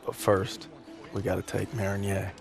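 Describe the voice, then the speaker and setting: A man's voice: deep voice, level tone, moderate pace, vocal fry, over music. A man playing an earnest young American soldier, narrating a letter home in a reflective, plainspoken way for a game. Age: young adult. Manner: informal, plainspoken.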